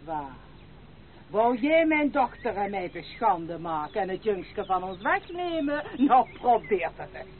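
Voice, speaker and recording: Brash old village granny, wildly animated. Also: female voice, mid-range voice, measured pace. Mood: indignant. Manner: scolding, sneering, folksy rural speech. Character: brash, grasping old village grandmother, scolding and sly. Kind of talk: old radio play acting. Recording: tinny, band-limited, hissy old radio recording.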